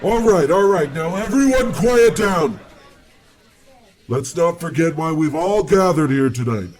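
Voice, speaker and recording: A pompous government boss played by a man in a comic radio play. He speaks slowly and a little high, with bombastic flourishes. He is bossy one moment and fawning the next. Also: animated, a little mellow. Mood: grand, festive. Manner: bombastic, grandly theatrical delivery. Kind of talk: comic old-time radio play acting.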